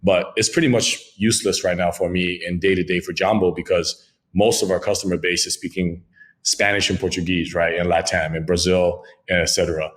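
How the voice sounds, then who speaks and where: A man's voice: sits low, level tone, brisk. A man from Congo, a French speaker, answering podcast questions about his crypto company in fluent, jargon-heavy talk. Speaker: crypto company founder, guest. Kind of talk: podcast interview, business pitch.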